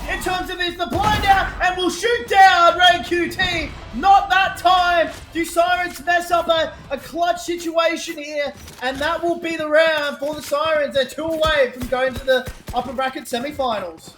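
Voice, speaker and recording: A woman giving excitable play-by-play commentary on an esports match, shouting at big plays as she loses her voice. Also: very high voice, measured pace, few pauses. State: losing her voice. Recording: roomy echo with noise.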